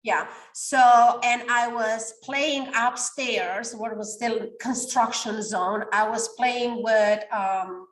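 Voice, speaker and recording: A woman's voice: on the high side, takes it slowly, hardly any pauses, a bit bright, roomy sound, rough recording. A woman in her forties from Romania, a coach talking animatedly in a podcast interview and sometimes searching for words. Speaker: guest, coach.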